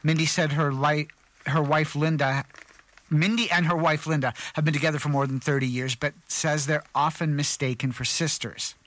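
A man's voice: fairly high pitch, steady tone, moderate pace, a bit bright. A middle-aged man reading a news story on a community radio show, with small slips and restarts. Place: radio studio.

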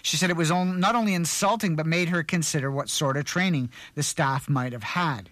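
A man's voice: fairly high pitch, fairly quick, fairly bright tone, dry recording. A middle-aged man reading a news story on a community radio show, with small slips and restarts. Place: radio studio.

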